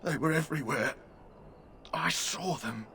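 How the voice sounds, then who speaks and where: A man's voice: fairly high pitch, unhurried, vocal fry, sharp tone, full character voice. A man voicing a terrified soldier in a video game, rambling frantic warnings that everyone will die. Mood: panicked. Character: terrified male soldier raving about doom.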